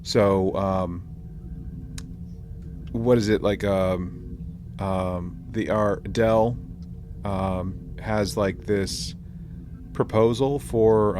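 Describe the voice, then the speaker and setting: A man's voice: on the low side, steady tone, takes it slowly, long pauses, noisy background. A man co-hosting a podcast in casual discussion, hesitant as he searches for his words. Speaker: podcast co-host. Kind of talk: casual discussion recapping an audio drama.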